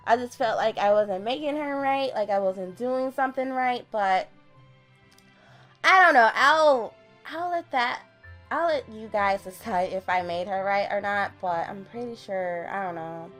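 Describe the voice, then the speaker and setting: A young woman with a deep voice, recording a casual late-night game voiceover at home on a desktop mic, chatty and self-deprecating. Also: measured pace, a bit bright, soft background music. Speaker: gaming YouTuber. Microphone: desktop USB mic.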